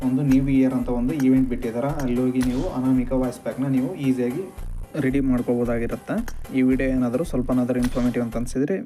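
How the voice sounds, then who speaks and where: A man's voice: mid-range voice, level tone, racing, mellow. A man from Karnataka, narrating a gaming tutorial as a channel host. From Karnataka, India. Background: background music.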